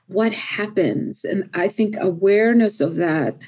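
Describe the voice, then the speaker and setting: A woman's voice: medium pitch, a little slow, darkish tone, breathy edge. A middle-aged woman from El Salvador, a poet whose first language is Spanish, speaking thoughtfully in an interview and often adding "right?". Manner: thoughtful, repeats words, often adds "right?". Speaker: poet, podcast guest. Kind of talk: reflective interview conversation.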